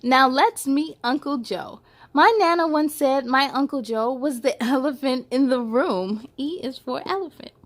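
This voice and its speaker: Female children's read-aloud host, high voice, animated and playful storytelling delivery. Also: measured pace. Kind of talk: expressive read-aloud for children with chatty asides.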